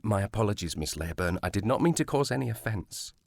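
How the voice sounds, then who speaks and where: A man's voice: lowish voice, expressive, fast talker, vocal fry, a little mellow, no echo. A man playing a courteous, cautious provincial police sergeant with formal speech in a period audio drama. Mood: tactful, cautious.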